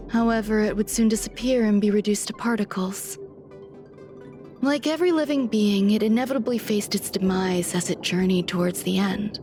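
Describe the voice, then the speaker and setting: An aloof, quietly curious genius scientist from a video game, played by a woman. She speaks formally and with detachment in a middle-pitched voice, choosing measured words, with faint music underneath. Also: close to an everyday voice. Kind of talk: scripted video game dialogue.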